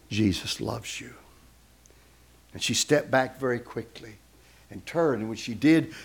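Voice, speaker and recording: A man who is a guest speaker, telling a story in a sermon and acting out the voices of the people in it. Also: on the low side.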